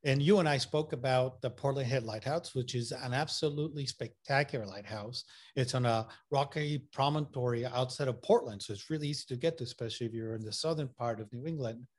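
A man's voice: medium pitch, brisk, hardly any pauses, a little mellow. A man with an accent that mixes Puerto Rican and Massachusetts sounds, talking as an interview guest. He is enthusiastic and loose, piling on fillers and restarting his sentences as he goes. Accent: mixed Puerto Rican and Massachusetts. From Puerto Rico. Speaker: photographer guest. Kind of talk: casual interview talk.

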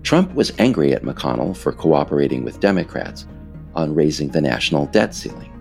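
An American man reading scripted political narration in a measured, emphatic, explanatory way. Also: rumbling low, big pitch swings, gravelly, darkish tone, faint music behind. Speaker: author narrating his audiobook episode.

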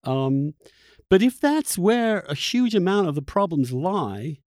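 A man's voice: high-pitched, very animated, takes it slowly, a little mellow, no echo, studio quality. A man hosting a reflective long-form interview, who feels his way through his thoughts and often restarts phrases mid-sentence. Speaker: podcast host interviewing a guest.

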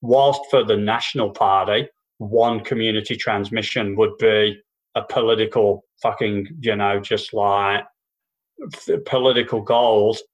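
A man's voice: lowish voice, steady tone, measured pace, rough recording. A man co-hosting a casual political chat podcast, talking in long sweary runs full of false starts and restarts. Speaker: podcast co-host and stand-up comedian. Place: New Zealand.

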